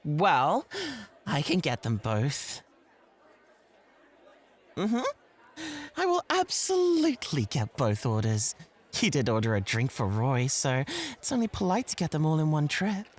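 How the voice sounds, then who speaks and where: Man acting flirtatious male host, breathy husky voice, very animated intonation, coy, playful, competitive, ASMR roleplay. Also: a little high, unhurried, pauses often, heavily acted. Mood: playful rivalry.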